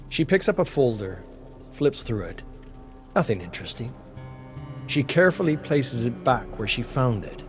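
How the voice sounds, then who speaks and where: A man reading a scripted dramatic story as a narrator. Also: mid-range voice. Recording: voice over background music. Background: music.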